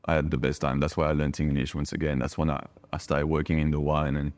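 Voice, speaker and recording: Very deep-voiced Frenchman in conversation. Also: level tone, fast talker, darkish tone. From France, a small village in Bordeaux. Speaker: podcast guest, wine bar owner. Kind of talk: reflective conversational interview.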